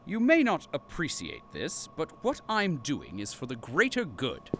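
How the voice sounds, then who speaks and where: A man's voice: fairly high pitch, wildly swooping pitch, unhurried, noisy background, heavily acted. A man playing a pompous captive archivist and company loyalist in an audio drama, with formal, grandiose diction. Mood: haughty defiance.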